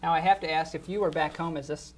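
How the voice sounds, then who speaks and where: A man's voice: fairly high pitch, fairly even intonation, quick pace. A young man anchoring a student news show, reading the copy and asking interview questions. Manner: read-out anchor lines, loose in interview.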